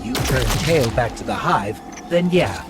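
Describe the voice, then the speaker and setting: An actor playing a loyal, protective and suspicious robot companion in a video game, with an earnest, pointed delivery. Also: male voice, medium pitch, lively intonation, a little slow, lightly acted. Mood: resolute, righteous. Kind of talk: video game cutscene acting. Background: loud background music.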